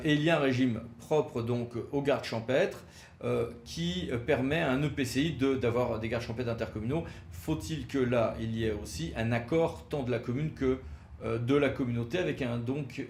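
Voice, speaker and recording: A man presents like a legal expert giving a lecture, flagging key points emphatically and often hesitating as he explains. His pitch is average, and the recording has a roomy echo with faint noise behind it. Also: darkish tone. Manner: didactic, emphatic signposting with frequent hesitations.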